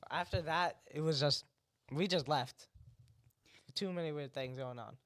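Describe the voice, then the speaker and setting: A man's voice: on the high side, measured pace, fairly bright tone. A young man from New Jersey telling jokes and a short story in a casual chat, with a plain, brief delivery. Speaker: guest.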